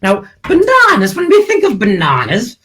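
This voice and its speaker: A high, lilting voice that swoops up and down: a woman doing a vain, over-the-top kids' show hostess. She drags out her words and bursts into cackling laughs, bright and sharp in tone. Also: wildly swooping pitch, a little hiss or hum. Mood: gushing, chatty. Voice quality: theatrical, drawn-out words, breaks into cackling laughs. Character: flamboyant, self-adoring female TV hostess, grand and theatrical. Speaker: children's show host parody. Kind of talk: comic character sketch.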